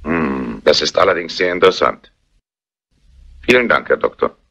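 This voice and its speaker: Police inspector: very low, forceful, methodical authority, old crime drama soundtrack. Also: male voice, expressive, normal speed, muffled recording, full character voice. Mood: businesslike. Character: businesslike, measured police inspector. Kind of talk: German crime film dubbing, theatrical dialogue. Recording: faintly noisy vintage soundtrack, clicks.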